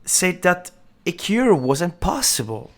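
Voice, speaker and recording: A woman voicing a brash, incredulous young male gangster sidekick in an audio drama, blunt and excitable. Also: on the low side, slow pace, full character voice. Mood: stunned disbelief. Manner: blunt, swearing, stammers in disbelief. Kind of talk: scripted audio roleplay acting.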